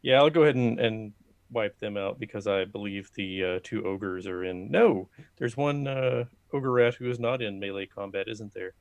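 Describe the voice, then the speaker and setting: A man's voice: fairly low pitch, normal speed, highs cut off. A man chatting casually as a player in a tabletop roleplaying game.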